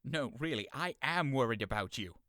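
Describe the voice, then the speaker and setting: An actor playing a teasing, sharp-tongued young male servant in an audio drama. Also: medium pitch, expressive, moderate pace, no room sound, clean studio sound. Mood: mocking. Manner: teasing, mocking.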